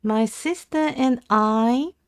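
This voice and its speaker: A Japanese woman with a Japanese accent, practising language aloud on a casual livestream in a halting, hesitant way. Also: fairly high pitch, clean tone, no echo, studio quality. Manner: hesitant, halting English with self-corrections. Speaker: live streamer learning English. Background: computer keyboard typing and clicking.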